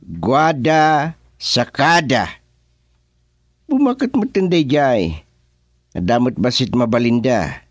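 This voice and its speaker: A man's voice: mid-range voice, sing-song, dry recording. A man acting a mediating, questioning village chairman in a radio comedy drama, with an Ilocano accent. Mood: helpful, deliberate. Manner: deliberate, questioning.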